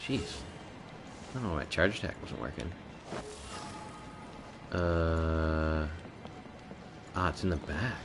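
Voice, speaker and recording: A man's voice: a bass voice, slow pace, pauses often. A man giving casual, sweary reactions as he streams a game. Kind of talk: casual live-stream commentary over a game. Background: game music.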